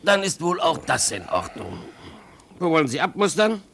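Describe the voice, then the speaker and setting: An actor playing a callous, impatient ship's captain in a German radio play, curt and bureaucratic. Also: male voice, a little high, sing-song, moderate pace, gravelly, a bit bright, slight background noise, exaggerated voice.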